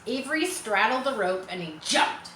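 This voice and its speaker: A woman narrates a children's book with expression and informal asides. Her voice is bright and medium in pitch, recorded in an echoey room with faint noise behind it. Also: expressive, normal speed. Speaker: storybook reader.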